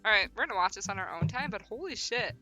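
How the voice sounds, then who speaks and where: A woman hosting a casual game live stream, expressive and slangy in her banter. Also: mid-range voice, fast talker, loud music behind the voice. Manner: slangy, expressive, prone to giggling. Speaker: streamer hosting a visual novel playthrough.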